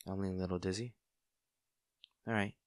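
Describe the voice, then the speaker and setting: A man's voice: deep voice, fairly even intonation, normal speed, clear, resonant tone, no echo, studio quality, close to an everyday voice. A man playing a kind young barista with a shy crush, speaking reassuringly and a little hesitantly in an intimate audio roleplay. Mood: caring, reassuring. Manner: soft-hearted, reassuring, hesitant. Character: kind young male barista, caring, shyly smitten. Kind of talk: intimate comfort audio roleplay, natural conversational acting.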